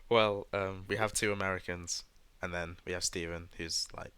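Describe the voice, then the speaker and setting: A man's voice: low-pitched, pushed and bright, clear, resonant tone, no echo. A British man hosting a casual podcast chat with friends; he laughs often and stumbles into sentences. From Britain. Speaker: podcast host.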